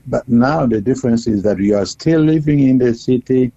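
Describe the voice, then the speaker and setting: A man with a South Sudanese accent, a bishop speaking haltingly and deliberately as an interview guest over the phone. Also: medium pitch, dark tone, slightly rough, no room sound. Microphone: telephone. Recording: dull, muffled phone line.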